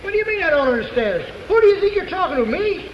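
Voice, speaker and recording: An actor playing a hot-headed, contemptuous juror, loud and indignant, in a 1950s live television drama. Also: male voice, extremely high pitch, sing-song, rapid-fire. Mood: indignant, furious. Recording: muffled, roomy, hissy 1950s television broadcast.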